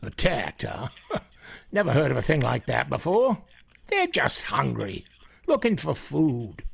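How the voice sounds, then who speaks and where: An actor playing a gruff, dismissive country farmer in a radio drama, with a gravelly, husky voice. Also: male voice, mid-range voice, wildly swooping pitch, unhurried, no echo, exaggerated voice. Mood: skeptical, amused.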